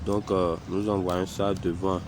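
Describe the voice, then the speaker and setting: Man with a cold, deep voice, unhurried tutorial instructor. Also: level tone, over music. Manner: slow, hesitant, talks through clicks step by step. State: has a cold. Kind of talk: screen-recorded software tutorial.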